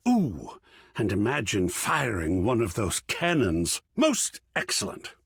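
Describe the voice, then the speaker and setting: A man's voice: on the low side, huge pitch swings, slow, gravelly, breathy edge, dry recording, clean studio sound, exaggerated voice. An actor voicing an effusive, grandiloquent art connoisseur in a video game, savoring his words with dramatic flair. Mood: rapt, reverent. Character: effusive, theatrical art connoisseur, a living piece of artwork. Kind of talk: theatrical game character dialogue.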